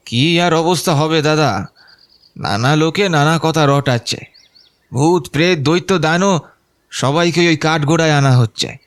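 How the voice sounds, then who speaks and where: A man's voice: a little high, measured pace, natural voice. An actor playing a greedy, resentful village man in an audio horror story, complaining and wheedling in a folksy way. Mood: alarmed gossip. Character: grumbling, greedy, resentful village man, fawning on his elder.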